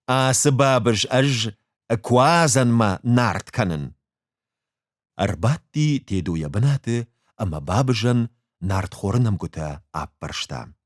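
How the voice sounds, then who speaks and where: A man reading a short story aloud as an expressive literary narrator. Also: lowish voice, no room sound, studio quality.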